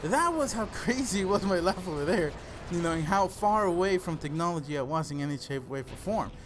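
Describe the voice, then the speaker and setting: Higher-voiced young Salvadoran-accented man, noisy recording. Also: expressive, fairly quick. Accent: Salvadoran Spanish. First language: Spanish. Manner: earnest, addresses listeners as "guys". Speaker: gaming channel host. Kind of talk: casual personal storytelling over gameplay commentary.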